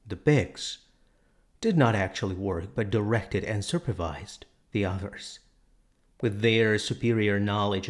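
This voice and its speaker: A man reading a classic novel aloud as narrator, in a measured storytelling manner with deliberate pauses. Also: fairly low pitch. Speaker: audiobook narrator. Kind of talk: scripted literary narration.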